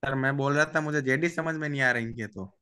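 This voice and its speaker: A man's voice: mid-range voice, quick pace, sharp tone, smooth. A man taking part as a student on a video call, giving hesitant, brief replies.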